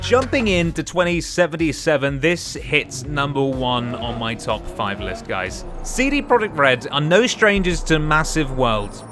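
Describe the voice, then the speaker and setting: Male game reviewer, very lively intonation, fairly high voice, emphatic scripted countdown narration, light background music. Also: measured pace, few pauses. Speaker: video game reviewer and host. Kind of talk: scripted narration of a top five games list.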